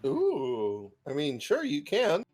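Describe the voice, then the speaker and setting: A man narrates a tabletop game as its game master, his slightly high voice swinging widely in pitch as he teases his players with dry jokes. Soft music plays faintly behind him. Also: wildly swooping pitch, slow. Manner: dry teasing humor.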